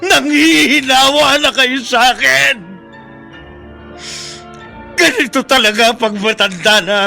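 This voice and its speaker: A man playing a bitter, self-pitying old Filipino father who feels unwanted, with a raspy, strained, aggrieved voice in a radio drama. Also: very high voice, takes it slowly, sharp tone, soft background music, heavily acted. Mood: hurt, outraged. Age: older. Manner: aggrieved, accusing, sulking.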